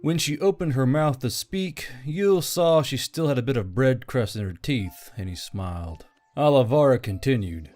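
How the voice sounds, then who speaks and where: A man's voice: mid-range voice, animated, normal speed, hardly any pauses, darkish tone, faint music behind. A man reading scripted fantasy fiction as a story narrator.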